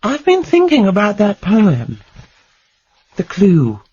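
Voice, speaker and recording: An actress playing a shrewd, protective wife in a radio drama, probing and coaxing with quick wit. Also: female voice, medium pitch, wildly swooping pitch, warm tone, husky, highs cut off, exaggerated voice. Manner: probing, soothing, quick-witted.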